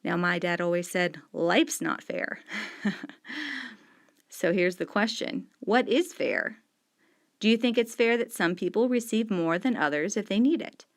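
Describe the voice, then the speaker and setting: A middle-aged woman speaks as a teacher walking students through reading questions. She talks in an unhurried, deliberate way, leaning on key words and repeating each question while she marks up the page with a pen. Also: lowish voice, expressive, vocal fry. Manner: annotating on screen with a pen while talking. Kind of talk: explaining discussion questions to students.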